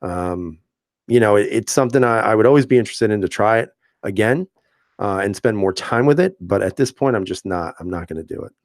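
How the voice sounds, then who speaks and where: Man, deep voice, casual live-stream host, relaxed chatty delivery, dry close-miked sound. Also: a little fast, no room sound. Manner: relaxed, chatty, laughs often, many fillers and restarts. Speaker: channel host reading live chat.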